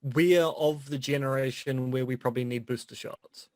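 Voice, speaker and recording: A middle-aged man co-hosting a podcast in casual chat, wry and deadpan in his jokes. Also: medium pitch, normal speed, no room sound. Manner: dry, wry joking. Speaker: podcast co-host.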